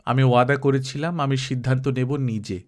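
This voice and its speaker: A man's voice: mid-range voice, fairly even intonation, moderate pace, darkish tone, close to an everyday voice. A man voicing a young shepherd boy. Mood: resolute. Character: young shepherd boy, uncertain but hopeful.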